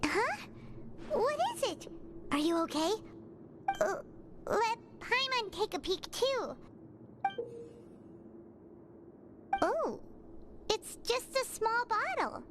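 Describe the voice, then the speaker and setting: Game fairy companion: very high, squeaky, chatty and jumpy, animated delivery. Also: female voice, slow, long pauses, noisy background, heavily acted. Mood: curious. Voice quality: squeaky, childlike. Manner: exclamatory, refers to self in third person. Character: tiny childlike floating fairy companion, excitable, cowardly, chatty. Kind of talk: video game voice acting, cartoonish. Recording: loud game music behind voice. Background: game music.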